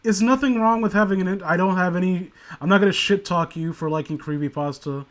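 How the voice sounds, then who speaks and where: A man's voice: sits high, fairly quick. A man co-hosting a casual comedic commentary, rambling sarcastically with crude humour. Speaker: co-host of a comedic commentary show.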